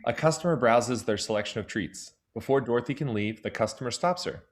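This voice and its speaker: A man's voice: medium pitch, a little fast. A man reading scripted stage directions as the narrator of an audio drama.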